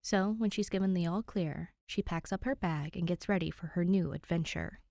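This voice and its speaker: A woman's voice: fairly low pitch, fairly even intonation, normal speed, creaky, a little mellow, no echo, clean studio sound. A woman hosting and narrating fan fiction, chatting to listeners and reading expressively. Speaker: podfic reader and channel host.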